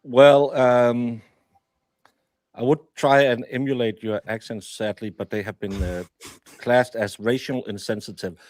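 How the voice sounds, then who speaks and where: A man with a Danish accent, the guest baker, who talks in a deadpan, hesitant way. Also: mid-range voice, measured pace, no echo.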